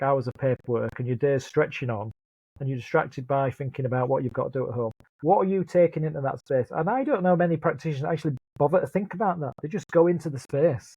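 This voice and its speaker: A man's voice: a little high, darkish tone, dry recording, low-quality recording. A man with a Leeds accent, speaking earnestly and thoughtfully as a guest in an interview, often repeating words as he starts a thought. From Leeds, England. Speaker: guest, project lead. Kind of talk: reflective interview conversation.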